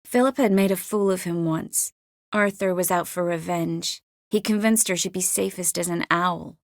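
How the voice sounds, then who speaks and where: Woman acting a witty, expressive sorceress, dry close sound. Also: on the low side, normal speed, no echo, close to an everyday voice. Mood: serious, measured. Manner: expressive, teasing and wry. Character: witty, confident adult sorceress, warm but worldly. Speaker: video game voice actress. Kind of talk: dramatic video game dialogue acting.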